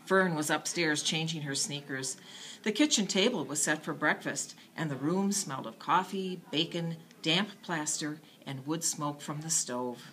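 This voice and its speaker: A woman's voice: low-pitched, unhurried, fairly bright tone, slight background noise. A woman narrating a children's bedtime story expressively.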